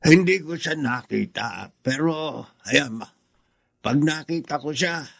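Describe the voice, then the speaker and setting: An actor playing a kindly, calm older male neighbour with a gravelly voice in a radio drama. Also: a little high, slow, dry recording, clean studio sound, heavily acted. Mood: calm, concerned.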